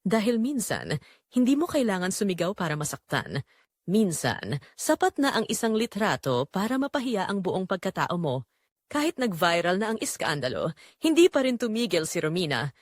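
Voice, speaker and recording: A woman's voice: mid-range voice, sing-song, normal speed, dry recording, clean studio sound. A woman with a Filipino accent narrating a scripted dramatic story.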